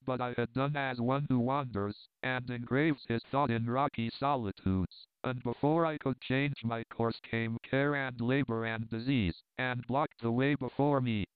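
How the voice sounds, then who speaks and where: Man reading a dying fervent visionary, quiet, measured, very clear voice, dry sound. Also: mid-range voice, steady tone, dry recording, close to an everyday voice. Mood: solemn. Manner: quiet, measured, holding back his strength. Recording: dry, tinny phone-like sound.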